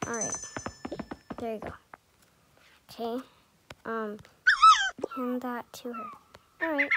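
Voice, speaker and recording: A woman's voice: a little high, very noisy. A woman voicing a busy mother in improvised pretend play with game characters, hesitant and murmuring to herself. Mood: coaxing. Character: busy mother packing up for a family move.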